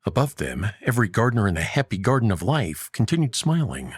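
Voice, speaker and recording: A man narrating a scripted story in a dramatic, measured way with a gravelly, husky voice. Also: fairly low pitch, very animated, no room sound, studio quality.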